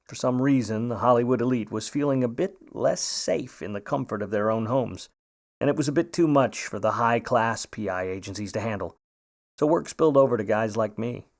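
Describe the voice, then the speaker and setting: An actor playing a sardonic, world-weary 1950s Hollywood private eye in a radio play, with hard-boiled delivery. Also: male voice, mid-range voice, brisk, lightly acted. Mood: wry narration. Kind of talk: noir radio play narration and dialogue.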